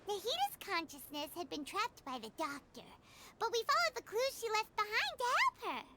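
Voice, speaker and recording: A woman's voice: extremely high pitch, very animated, normal speed, heavy background noise, exaggerated voice. A woman voicing a small, chatty, self-important fairy girl in a video game. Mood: musing. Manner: refers to self in third person, whiny and bubbly. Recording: game music under the voice.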